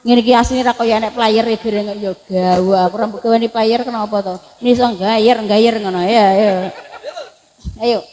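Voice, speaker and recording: High-voiced joking Javanese woman preacher. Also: steady tone, normal speed, mellow, rough recording. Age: 40s. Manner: joking, chatty, teases the crowd, breaks into song. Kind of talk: comic sermon at a religious gathering. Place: on a stage at an event.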